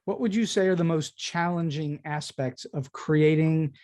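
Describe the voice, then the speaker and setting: A man's voice: on the high side, unhurried, darkish tone. An American man asking questions as a podcast host in a conversational interview style.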